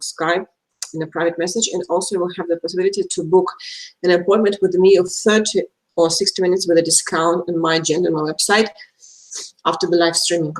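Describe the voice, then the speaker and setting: A woman from Belarus, a native Russian speaker with a Russian accent, chatting casually and haltingly as she hosts an astrology live stream. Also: on the low side, rough recording. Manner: chatty, halting, searching for words.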